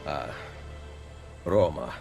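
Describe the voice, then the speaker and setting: A man's voice: very deep voice, lively intonation, extremely slow, dull audio, heavily acted. A man playing a grave, determined Renaissance assassin with an Italian accent in a video game. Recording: clear music behind.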